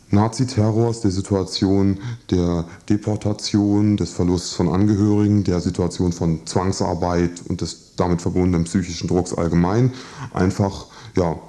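Low, mellow man, halting and deliberate. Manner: halting and deliberate, searching for exact wording. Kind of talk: spontaneous spoken commentary on a court case.

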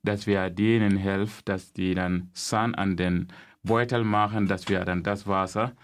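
A young man from Gambia speaks with a very low voice that barely rises or falls. His German is halting and simple, full of restarts. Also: steady tone, slight background noise. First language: not German. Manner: halting non-native German, many restarts and fillers. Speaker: guest. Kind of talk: casual interview.

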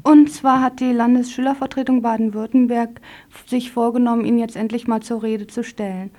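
A woman's voice: on the high side, flat delivery, brisk, dark tone. A woman presenting scripted news items on community radio.

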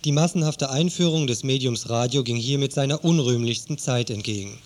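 A man's voice: mid-range voice, fairly quick. A man narrating a scripted radio news feature in an earnest, critical tone.

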